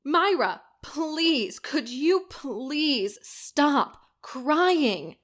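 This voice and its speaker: Actress as an irate, high-voiced bride. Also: female voice, expressive, drawn-out words, a bit bright, exaggerated voice. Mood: sharp, demanding. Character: irate, sarcastic, demanding bride. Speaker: actor in an audio drama. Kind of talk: audio drama acting.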